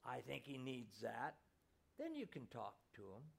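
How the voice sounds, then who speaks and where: A man voicing a calm father who speaks quietly. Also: a little slow, gravelly, husky, full character voice. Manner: quiet, low-key.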